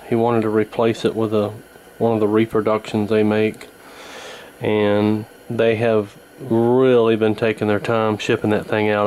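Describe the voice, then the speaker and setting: A man's voice: fairly low pitch, a little mellow. A man casually narrating a hands-on repair as he works, recorded on a camera at an indoor workbench. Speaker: host, radio repairer. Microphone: handheld camera near the bench. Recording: noticeable background noise from camera mic.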